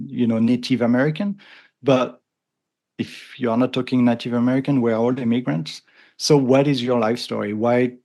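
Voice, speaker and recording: Brief: man, 50s, thick French accent, earnest guest, gravelly, medium pitch. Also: darkish tone. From France. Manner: earnest, thoughtful, frequent restarts. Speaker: podcast guest. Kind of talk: casual conversation.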